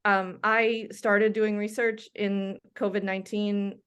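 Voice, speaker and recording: A woman's voice: medium pitch, flat delivery, takes it slowly, fairly bright tone, clean tone. A middle-aged woman from Denmark, a doctor and researcher, talking through her background as an interview guest, with frequent ums and restarts. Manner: chatty, many ums and restarts. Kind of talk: conversational interview.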